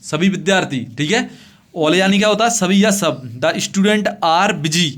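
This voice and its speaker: A male teacher with a notably high voice and a north-central Indian accent leads a classroom lesson. He goes over each phrase piece by piece, posing short questions and supplying the answers himself. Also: fairly bright tone. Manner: repetitive, asks questions and answers them himself; slow, deliberate reading of English phrases, translated piece by piece. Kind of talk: classroom English lesson explained in Hindi.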